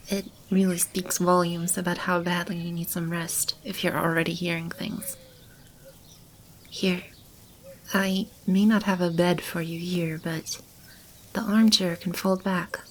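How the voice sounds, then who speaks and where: A woman playing a caring female demon in an ASMR roleplay, speaking softly and soothingly, often close to a whisper. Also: on the low side, a little hiss or hum. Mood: playfully flustered. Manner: soothing, tender, intimate and reassuring. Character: gentle, caring female demon sheltering animals and a lost child. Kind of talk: ASMR roleplay, soft-spoken with stretches of whispering. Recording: clearly audible ambient animal and bird sounds. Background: animal and bird ambience.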